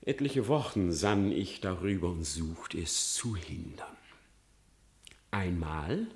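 An actor playing a cunning, lying fox who feigns pious repentance in a theatrical, slippery manner for a radio play in verse. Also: male voice, low-pitched, lively intonation, slow, full character voice. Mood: sly, dramatic storytelling. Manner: theatrical, slippery, swinging from whispers to outcries.

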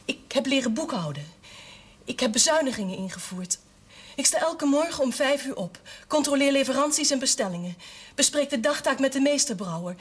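An actress playing an earnest young former novice burdened with her family's business, in a television period drama. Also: sits high.

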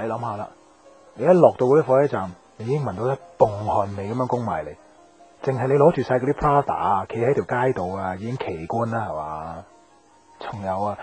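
An actor playing a blunt, skeptical young friend in a Hong Kong Cantonese radio drama, teasing and questioning colloquially. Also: male voice, lowish voice, a little mellow, lightly acted. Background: faint background music.

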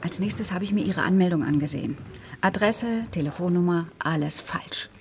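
A woman's voice: lowish voice, moderate pace, darkish tone, slight background noise, natural voice. Woman acting a suspicious, determined mistress. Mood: worried, suspicious. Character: suspicious, determined female dance school owner and secret lover. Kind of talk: German radio crime drama acting.

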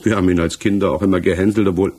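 Troubled male defendant, husky, low-pitched, hesitant, evasive, 1960s radio drama. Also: steady tone, darkish tone. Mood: subdued recollection. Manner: halting, searching for words, defensive. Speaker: actor in a radio crime drama. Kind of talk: 1960s German radio play acting. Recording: 1960s mono radio production.

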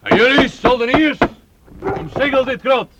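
A haughty medieval knight snaps orders and insults at his servants in a high, strained voice. A loud hiss runs under every word. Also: normal speed, lo-fi sound. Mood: arrogant. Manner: barking, contemptuous orders. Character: arrogant, brutal medieval knight lord, adult man. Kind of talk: radio play acting. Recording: loud hiss on an old tape.

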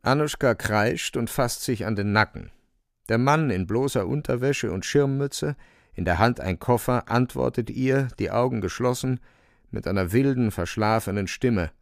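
A man's voice: medium pitch, normal speed, creaky, no room sound, studio quality. A man narrating a literary radio play with vivid, suspenseful storytelling.

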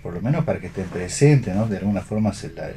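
A man chatting as a radio panellist, halting and rephrasing as he goes. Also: sits low, animated, mellow, slight background noise. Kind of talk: casual round-table chat.

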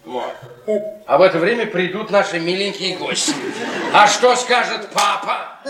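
A man's voice: high-pitched, expressive, moderate pace, over a noisy crowd. An actor playing a bitter, sarcastic, downtrodden professor husband in a radio play, mocking and needling as he repeats himself. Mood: teasing. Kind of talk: Russian theatrical radio play acting. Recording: echoey stage, distant mic, audience noise.

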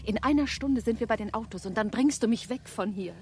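A woman playing hushed, intense young wife. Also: fairly high pitch, a little fast, a little hiss or hum, lightly acted. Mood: urgent. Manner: intense, often hushed and whispering. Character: Eva, young wife torn between two men. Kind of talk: German radio play acting.

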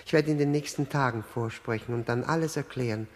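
An actor playing an obsequious, self-abasing widower in a 1960s German radio drama, halting, apologetic and overly polite. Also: male voice, mid-range voice, natural voice.

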